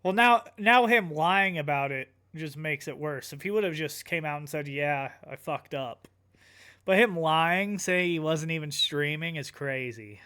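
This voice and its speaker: A man's voice: fairly high pitch, measured pace, sharp tone, smooth. A man giving casual, off-the-cuff reactions in a conversational, questioning way. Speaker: reaction commentator.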